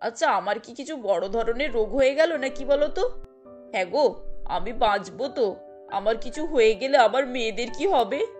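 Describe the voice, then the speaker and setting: A woman's voice: on the high side, lively intonation, normal speed, darkish tone, soft background music, pitched below the performer's own voice, natural voice. A woman doing an anxious, ailing wife. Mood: pleading. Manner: pleading, worried.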